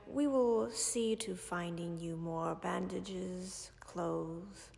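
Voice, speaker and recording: A woman voicing a gravelly, gentle dragon. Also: mid-range voice, in a large hall. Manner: soft, measured, often near-whispered. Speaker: voice actor in audio roleplay. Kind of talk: audio roleplay for a listener.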